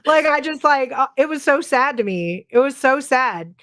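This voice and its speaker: A middle-aged woman hosts a casual podcast conversation. Her voice sits a little high, and she talks in an animated, rambling way full of "like.". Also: normal speed, no room sound, studio quality. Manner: chatty and animated, many "like" fillers. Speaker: podcast host. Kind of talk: casual paranormal chat.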